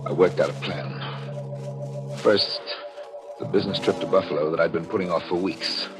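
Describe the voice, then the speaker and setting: A deep-voiced, suspicious husband played by a male actor narrates with brooding intensity in a vintage radio thriller. His delivery is theatrical and tightly wound, with music prominent behind him on the old broadcast. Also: moderate pace, lightly acted. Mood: grim resolve. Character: suspicious, jealous husband recounting his plot to murder. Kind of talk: 1940s radio thriller acting with first-person narration.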